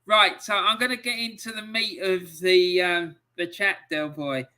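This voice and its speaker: A man's voice: high-pitched, steady tone, a little slow, pushed and bright. A man hosting a casual interview podcast, reading out viewer comments in a chatty way with lots of fillers. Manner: chatty, laughs often, filler-heavy. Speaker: podcast host.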